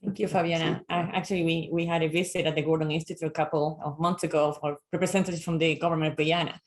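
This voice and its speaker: A man's voice: a little high, little pitch movement, fast, rough recording. Brief: man, panel moderator, polite and brief.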